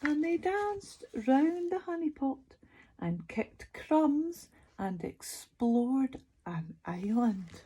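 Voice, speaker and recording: A woman reading a children's picture book aloud as a bedtime storyteller, expressive and sing-song, putting questions to young listeners. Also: sits high, very slow, rough recording.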